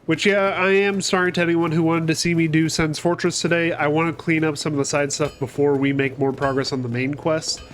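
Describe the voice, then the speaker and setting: A man's voice: fairly high pitch, level tone, brisk, hardly any pauses, slight background noise. A man with a cold giving casual, chatty commentary over his gameplay, swearing now and then and talking to enemies on screen. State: fighting a cold. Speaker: gaming channel host. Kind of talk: casual let's-play commentary.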